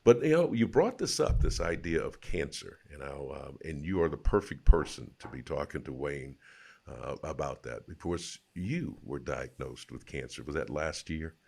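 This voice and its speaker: Male host, very deep, gravelly, warmly affirming. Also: animated, normal speed. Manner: affirming, admiring interjections. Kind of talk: interview chat.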